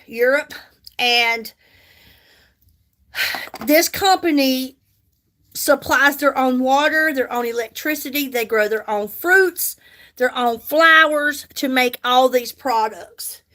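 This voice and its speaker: Slow, high-voiced woman in her fifties. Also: a bit bright. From United States. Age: 50s. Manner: chatty, reads and answers viewer comments, repeats herself for emphasis. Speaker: makeup livestream host. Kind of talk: casual livestream chat while doing makeup.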